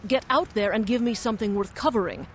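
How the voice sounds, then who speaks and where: An actress playing a brash, self-centred television reporter in a video game cutscene. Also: female voice, fairly high pitch, fast, a little hiss or hum, lightly acted. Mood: impatient, scheming.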